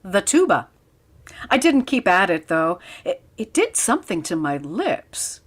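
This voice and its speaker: A woman playing a wry, quick-witted schoolteacher with dry wisecracks in old-time radio comedy style. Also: medium pitch, very animated, slightly rough. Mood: wry, reluctant. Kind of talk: 1940s radio comedy acting.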